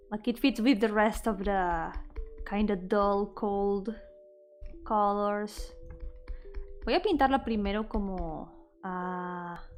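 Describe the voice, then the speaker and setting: A woman chatting casually on an art livestream, thinking aloud in a playful, self-deprecating way. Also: medium pitch. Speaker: VTuber art streamer and game developer. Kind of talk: casual livestream chat while drawing, switching between English and Spanish. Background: background music.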